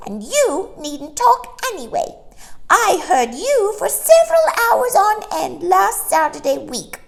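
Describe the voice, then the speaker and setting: Lady doing a shrill bossy bird, high squawky voice, all chatter and fuss. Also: big pitch swings, takes it slowly, creaky, full character voice. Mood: shrill, pompous. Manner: pompous and wheedling. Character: shrill chattering cocky male starling.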